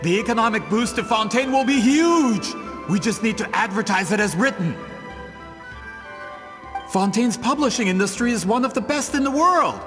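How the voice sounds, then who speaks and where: An actor playing a scheming, vain merchant in a video game, with a theatrical, pompous, indignant manner. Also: male voice, sits high, animated, moderate pace, music in the background. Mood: pleading, scheming. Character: scheming, vain male merchant with grand business ambitions.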